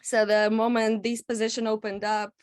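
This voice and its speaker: A woman from Kazakhstan giving a measured presentation at a public panel, with frequent "um" pauses. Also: a little high, flat delivery. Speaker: executive director, invited speaker.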